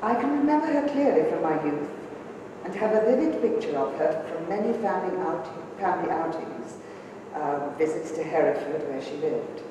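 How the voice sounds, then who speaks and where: A woman's voice: sits low, lively intonation, moderate pace, highs cut off. A middle-aged woman, reading a prepared tribute from a church lectern. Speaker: speaker at a memorial service. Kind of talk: prepared tribute speech read aloud. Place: large church, indoors. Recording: echoey church, distant mic, noisy.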